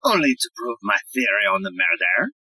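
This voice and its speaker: An actor playing a stubborn, cocksure detective inspector in a comic audio play, theatrical and self-assured, with a rough voice. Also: male voice, medium pitch, big pitch swings, a little fast, bright, forceful tone, no echo, full character voice, rough recording. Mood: smug.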